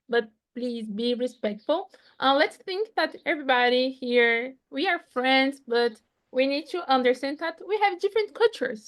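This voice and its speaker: A woman with a Portuguese accent and Portuguese as her first language, hosting an online panel over a video call in lively, non-native phrasing. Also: high-pitched. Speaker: event host.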